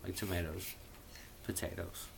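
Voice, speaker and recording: A man's voice: low voice, flat delivery, normal speed, creaky, a little hiss or hum. A man casually reading a novel aloud, theatrical and playful, dropping in irreverent asides of his own. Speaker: amateur reader of a novel.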